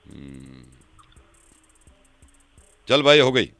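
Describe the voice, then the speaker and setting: A man's voice: medium pitch, very animated, extremely slow, fairly bright tone, no echo, soft background music, full character voice. A man on a prank call playing a stern police verification officer, curt and repetitive as he questions and stalls. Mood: stalling, curt. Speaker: prank caller, radio show host. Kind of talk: prank phone call in character.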